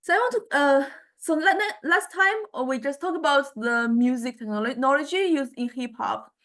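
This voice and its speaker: A woman lecturing to a university class informally, speaking in halting phrases and often repeating words. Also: high-pitched, fairly bright tone.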